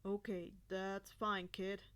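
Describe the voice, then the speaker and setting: A woman performs a grown male hero from an audio story, his voice dropped low. He speaks carefully and calmly, like a patient teacher set on reassuring someone. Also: takes it slowly, clear, resonant tone. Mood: gentle, coaxing.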